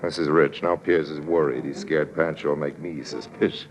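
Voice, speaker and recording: A man's voice: extremely low pitch, steady tone, normal speed. An actor playing a suave, wry swindler who narrates his own con in a confiding 1950s radio drama style. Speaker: narrator and lead character. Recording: old radio recording with crowd noise.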